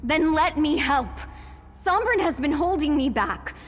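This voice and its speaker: A woman's voice: sits high, expressive, measured pace. An actress playing a fierce, reckless young woman in a video game, impassioned and defiant in her grief. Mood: vengeful, desperate. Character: vengeful grieving young sister, fierce and reckless. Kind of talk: video game character acting. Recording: reverberant hall, game music behind.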